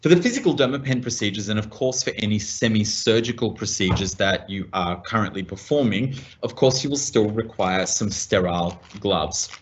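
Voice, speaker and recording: Measured Australian man demonstrating products. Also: lowish voice, expressive, slight background noise. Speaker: medical practitioner presenting products.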